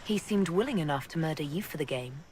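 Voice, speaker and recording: A woman playing a composed, reassuring leader in a fantasy video game, talking in a calm, direct and measured way over background noise. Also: low voice. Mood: supportive. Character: composed, reassuring female military-religious leader. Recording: clear background ambience noise.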